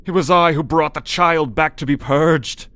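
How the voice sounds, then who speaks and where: A man's voice: on the high side, normal speed, fairly bright tone, no echo, close to an everyday voice. A man playing a religious zealot in an audio roleplay, solemn, preachy and condescending. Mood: awed, pleading. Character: male religious zealot, sternly pious and condescending, craving favour. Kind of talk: scripted audio roleplay, ASMR drama.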